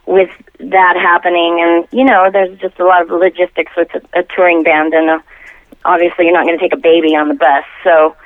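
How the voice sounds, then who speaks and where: A woman's voice: lowish voice, level tone, brisk, dry recording. A middle-aged woman chatting casually and reflectively as an interview guest, in an easygoing conversational way. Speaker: podcast guest, musician. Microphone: phone call. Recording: thin, narrow telephone line.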